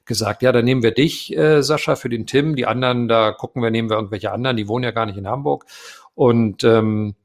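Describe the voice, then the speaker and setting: Gravelly-voiced man, hesitant. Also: mid-range voice, fast. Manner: hesitant, many filler sounds. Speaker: voice actor guest. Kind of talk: casual interview talk.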